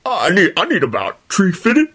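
A woman's voice: lowish voice, huge pitch swings, a little fast, fairly bright tone, slightly rough, full character voice. A woman narrator voicing an irritable, boastful old uncle telling tall tales in rustic, ungrammatical speech for an audiobook. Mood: gleeful.

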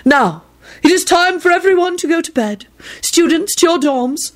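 A woman's voice: very high voice, expressive, moderate pace, voice pushed up. A woman voicing a vague, dreamy older witch teacher who murmurs and speaks melodramatically in a read-aloud story. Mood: self-righteous. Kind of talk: storybook character voice.

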